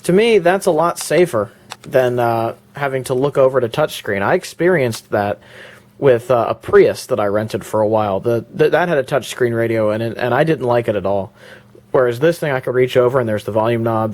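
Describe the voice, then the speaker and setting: A man's voice: mid-range voice, darkish tone, dry recording. Casual man showing off his car stereo. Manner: rambling, with fillers and restarts. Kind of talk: casual product walkthrough vlog. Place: inside a parked car; indoors before going to the car.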